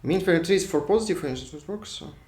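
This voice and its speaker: A man's voice: fairly high pitch, quick pace, a little mellow, a little hiss or hum. A man from Russia with a Russian accent, giving a university lecture at a whiteboard, thinking aloud with frequent restarts and repeated words. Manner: repetitive restarts, thinks aloud while drawing. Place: lecture hall. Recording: echoey room, voice drifts off mic.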